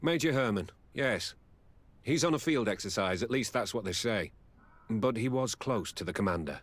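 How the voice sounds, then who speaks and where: An actor playing a guarded army lieutenant in a video game, speaking in a formal, deferential way. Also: male voice, fairly low pitch, animated, moderate pace, fairly bright tone. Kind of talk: dramatic video game dialogue.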